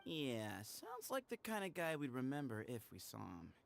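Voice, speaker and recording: An actor playing the sly, teasing leader of an armed band in a video game, with a glib, mocking, casual manner. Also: male voice, mid-range voice, huge pitch swings, moderate pace, gravelly. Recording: faint background noise.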